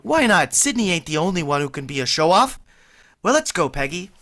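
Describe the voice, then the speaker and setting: A man's voice: a little high, very animated, fairly quick, sharp tone, exaggerated voice. An actor playing a boastful, easily flustered working-class man who blusters and stammers when he gets nervous, in vintage radio comedy style.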